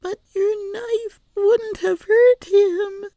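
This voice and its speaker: A woman voicing an earnest, stubborn eight-year-old girl with a small, breathy voice, in an audiobook story. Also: very high-pitched, fairly even intonation, very slow, warm tone, no echo, voice pushed up, heavily acted. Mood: stubborn protest. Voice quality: small, breathy, often whispering. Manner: earnest, insistent, plaintive.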